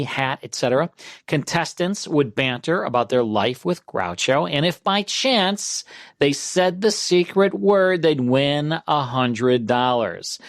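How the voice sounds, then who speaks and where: Casting: male host for an old-time radio showcase, medium pitch, easy orderly presenting, dry studio sound. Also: no echo. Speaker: classic radio show host.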